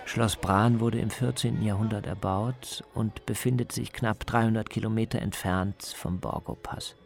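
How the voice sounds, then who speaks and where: A man narrating a scripted radio travel feature as the reporter, in a measured, descriptive way. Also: on the low side, fairly even intonation, creaky.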